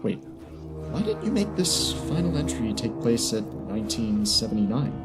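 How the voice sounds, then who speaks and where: A man's voice: mid-range voice, sing-song, normal speed. A man giving sarcastic, exasperated commentary as he narrates and critiques a story. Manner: sardonic, exasperated. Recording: music behind the voice.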